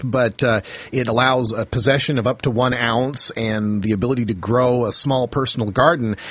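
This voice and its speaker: A man's voice: medium pitch, husky, no echo. A man hosting a radio talk show, welcoming a guest and asking questions in a friendly way. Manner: friendly, leading questions with filler words. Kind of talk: radio interview.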